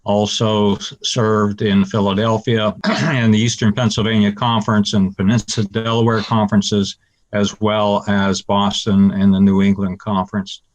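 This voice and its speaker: A man's voice: on the low side, little pitch movement, moderate pace, low-quality recording. An older man, a former bishop from Pittsburgh, reflecting steadily on his upbringing. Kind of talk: personal reflection.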